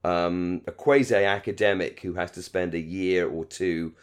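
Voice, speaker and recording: This is a British man with a deep, low voice. He talks casually as a podcast co-host, enthusiastic and rambling, often circling and softening his points. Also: steady tone, a little slow, clean tone. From Britain. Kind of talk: casual discussion.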